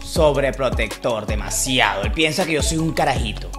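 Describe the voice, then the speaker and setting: Animated Venezuelan man in reality show. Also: mid-range voice, moderate pace, roomy sound. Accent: Venezuelan Spanish. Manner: animated, defensive, uses slang. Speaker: reality show cast member. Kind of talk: confessional reality-show talk. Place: indoor living room at night. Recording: background music under voice.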